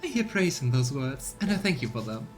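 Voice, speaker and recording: A man's voice: medium pitch, very animated, moderate pace, faint music behind, natural voice. A man voicing a gracious noblewoman governor who speaks in a formal, ceremonious way, for a game read-along. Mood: courtly, gracious.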